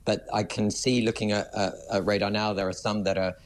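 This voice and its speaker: A man's voice: lowish voice, flat delivery, brisk, a little hiss or hum. A man giving live breaking news analysis as an aviation analyst, explaining step by step with hesitant phrasing and stressed key words.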